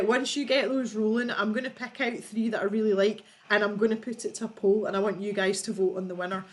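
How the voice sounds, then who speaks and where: A woman's voice: medium pitch, slight background noise. A woman hosting a casual livestream, reading out viewer jokes and laughing often as she chats. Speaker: livestream host.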